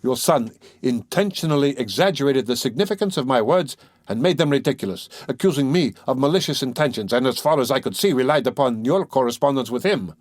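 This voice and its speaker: A man's voice: mid-range voice, animated, brisk, no room sound, studio quality, voice pushed up, natural voice. A man doing a pompous touchy suitor. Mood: pompous huffy. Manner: huffy, sententious, stiffly formal. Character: pompous, touchy middle-aged male suitor.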